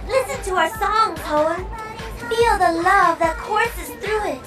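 A woman's voice: very high voice, slow, distant mic, over loud music. A woman voicing a volatile, girlish digital pop idol in a video game. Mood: sweet, alluring. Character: volatile female digital K-pop idol AI, sweet then furious.

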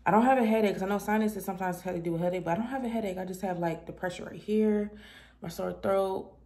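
Congested woman talking low. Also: quick pace, dark tone. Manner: low-key, rambling explanation. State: congested, stuffy nose. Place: apartment kitchen indoors. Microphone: phone camera held close.

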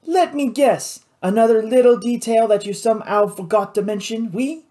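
A man's voice: high-pitched, moderate pace, warm tone, pitched above the performer's own voice, lightly acted. A man voicing a hot-tempered pirate boss in an audiobook, indignant and scolding, peppering his talk with French exclamations. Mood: seething.

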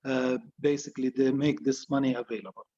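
A man answering as a candidate at a public forum, phrasing his points in deliberate, choppy pieces. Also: mid-range voice, steady tone, warm tone. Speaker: city council candidate. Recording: dull, muffled forum audio.

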